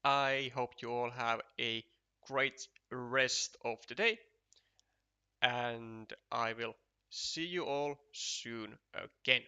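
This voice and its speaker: A man with a Finnish accent giving casual, unhurried commentary on a board game match for a live stream, chatty and full of fillers. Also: medium pitch, sharp tone, clear, resonant tone.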